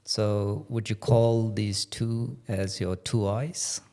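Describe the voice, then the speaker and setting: A man hosting a podcast, asking questions in a slow, measured way. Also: lowish voice, flat delivery, mellow. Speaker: podcast host. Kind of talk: podcast interview.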